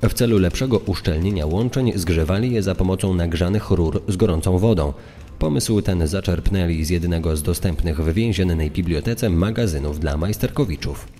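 A man delivers scripted documentary narration in a very deep voice. The tone is dark and mellow, and a long reverb trails the words. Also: moderate pace.

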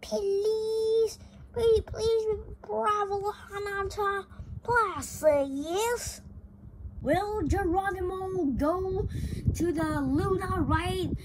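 Amateur reader as a squeaky, begging little mouse. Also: a little hiss or hum, heavily acted, low-quality recording. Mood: pleading. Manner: drawn-out, wheedling pleading. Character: young mouse relatives begging their uncle sweetly.

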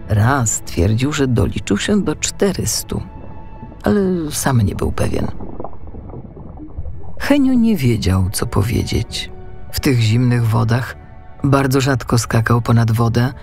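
Male children's audiobook narrator, lively intonation, a creaky edge, music underneath. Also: medium pitch. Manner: expressive, unhurried storytelling. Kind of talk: scripted storybook narration for children.

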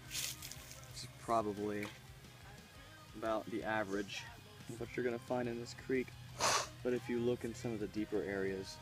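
A man's voice: lowish voice, poor audio. Young man explaining by a creek. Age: young adult. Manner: plain, matter-of-fact explaining. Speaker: fishing vlogger. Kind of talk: casual how-to narration. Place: outdoors by a small country creek. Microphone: handheld camera close by. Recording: music bed under the voice.

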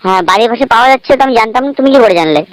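A man's voice: very high-pitched, lively intonation, racing. A man making a teasing prank call, persistently asking mock-innocent questions. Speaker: prank caller. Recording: noisy amateur phone call recording.

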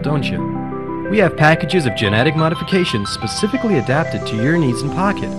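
An actor playing a persuasive male pitchman in a futuristic advert within an audio drama. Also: natural voice. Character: smooth-selling futuristic commercial pitchman. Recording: loud music bed.

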